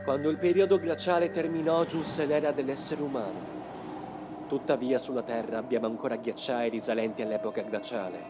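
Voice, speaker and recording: A man's voice: mid-range voice, fairly even intonation, fairly quick, natural voice. A man voicing a grave, determined young man in a motion comic dub, with a solemn, explanatory delivery. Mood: grave, ominous. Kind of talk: dramatic motion comic dubbing. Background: music.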